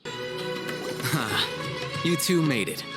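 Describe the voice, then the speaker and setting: A man's voice: medium pitch, very animated, extremely slow, over loud music. An actor playing a cool, shrewd young male scholar in a video game, composed and matter-of-fact. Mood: cool, assured. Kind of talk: video game cutscene acting.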